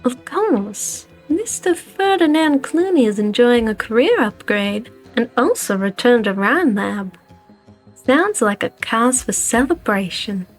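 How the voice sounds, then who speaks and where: A woman's voice: on the high side, lively intonation, takes it slowly, rough recording. A woman voicing a composed, wry company director, coolly evasive and defiant, in a game fan dub. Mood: wry, teasing. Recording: music behind the voice.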